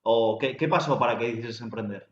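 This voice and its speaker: A man's voice: mid-range voice, fairly quick. Guy interviewing someone, echoey room, muffled sound with a bit of hiss. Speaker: host. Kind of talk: interview introduction and questions. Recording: roomy, dull, with faint background noise.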